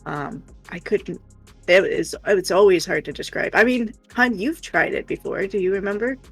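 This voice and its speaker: Woman livestream co-host, creaky voice, casual and rambling, thinking aloud over background music. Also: mid-range voice, expressive, normal speed, dry recording.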